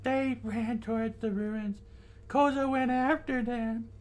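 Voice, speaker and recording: An excitable young desert boy, acted out by a man in a story read aloud. The voice is a thin falsetto, pitched far up, and it cries out urgently. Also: slow pace. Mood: upset. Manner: urgent, exclaiming. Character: excitable young desert children, boys shouting warnings. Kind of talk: hobby read-aloud of an adventure comic.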